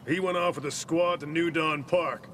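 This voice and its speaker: An actor playing a gruff, bossy military lieutenant in a video game, curt and commanding. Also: male voice, fairly high pitch, steady tone, moderate pace, a bit bright, a little hiss or hum, natural voice. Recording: game music behind.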